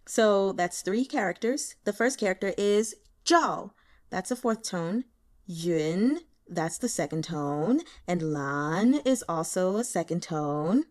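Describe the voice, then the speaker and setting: A woman giving casual, chatty commentary as a host and reader, in an informal and expressive manner. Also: fairly low pitch, takes it slowly.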